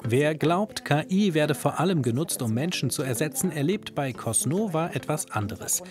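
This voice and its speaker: A man's voice: on the high side, lively intonation, moderate pace, music in the background. A man narrating a scripted television news magazine report.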